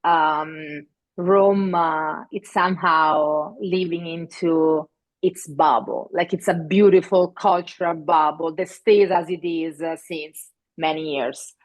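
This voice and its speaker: A middle-aged woman with an Italian accent speaks in a low voice. She talks casually as an interview guest, searching for words, restarting phrases and filling pauses. She grew up in Italy. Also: fairly even intonation. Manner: hesitant, many fillers and restarts.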